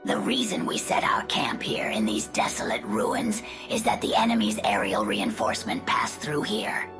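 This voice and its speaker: A calculating undead spider sorceress, extremely low and gravelly, with swooping pitch and a whispery hiss. She speaks in formal, measured game-cutscene lines over music. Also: big pitch swings, exaggerated voice. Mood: sly, explaining. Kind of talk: fantasy game cutscene dialogue. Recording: loud music behind the voice.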